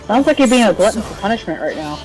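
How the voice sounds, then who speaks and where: Animated woman streaming a game over music. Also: medium pitch, fast talker, low-quality recording. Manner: playful, exclamatory, talks to herself. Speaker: gaming streamer. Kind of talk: casual game playthrough commentary. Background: video game music.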